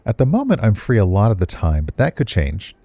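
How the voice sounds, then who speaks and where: A man's voice: on the low side, huge pitch swings, brisk, mellow, no echo, full character voice. A man voicing an eager, earnest young woman who dances, in a visual novel game.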